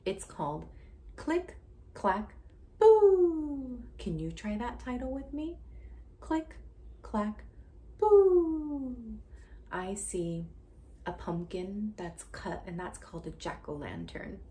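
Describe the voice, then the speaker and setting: A woman in her thirties doing an interactive picture-book read-aloud for young children, expressive and sing-song, with hushed asides and questions to the listener. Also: mid-range voice. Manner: slow, deliberate reading with questions to the listener. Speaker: children's story reader, educator. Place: small indoor room at home. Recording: home recording with faint background noise.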